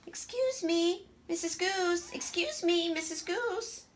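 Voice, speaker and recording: Woman as a timid, high-voiced little girl. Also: takes it slowly, slight background noise. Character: quiet, timid, thoughtful smaller girl. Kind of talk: children's storybook character voice.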